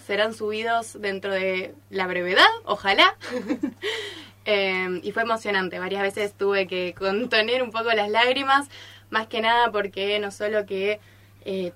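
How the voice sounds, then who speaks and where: Young female studio guest, bright mid-range voice, casual and giggly, unscripted. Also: mid-range voice. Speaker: guest visiting a radio studio. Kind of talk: casual, unscripted thanks on air.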